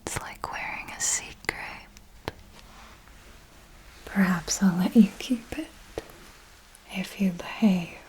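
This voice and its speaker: A woman voicing a seductive, possessive vampire mentor in an ASMR roleplay, speaking in a soft breathy whisper with a deliberate, formal, teasing manner. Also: mid-range voice, lightly acted, low-quality recording.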